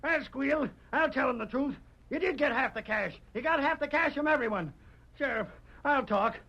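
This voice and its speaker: An actor playing a cowardly, whining crook with rural American speech in an old radio western. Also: male voice, very high-pitched, moderate pace, creaky, bright, forceful tone, exaggerated voice. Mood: angry squealing. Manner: pleading, blustering, rural speech. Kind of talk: 1940s radio acting. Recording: dull, lo-fi vintage radio recording.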